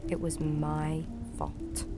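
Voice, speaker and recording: A woman's voice: deep voice, monotone, drawn-out words, loud music behind the voice. A woman playing a determined young girl weighed down by guilt, in a family audio drama. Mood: grieving, guilty.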